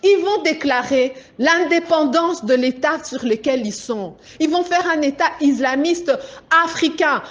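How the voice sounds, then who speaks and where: A woman's voice: high voice, a little slow. A woman from Congo with a Congolese French accent, giving an impassioned political address to a group as an activist, urgent and insistent, driving her points home. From Democratic Republic of the Congo.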